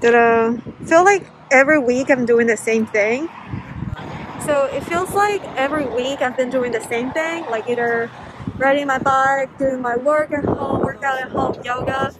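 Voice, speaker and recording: A woman's voice: sits high, moderate pace, background noise. Chatty upbeat woman vlogging at home. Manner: chatty, upbeat, filler-heavy. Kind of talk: casual vlog chat. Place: home kitchen and outdoors on a bike ride. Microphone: handheld camera at arm's length. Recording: music playing underneath.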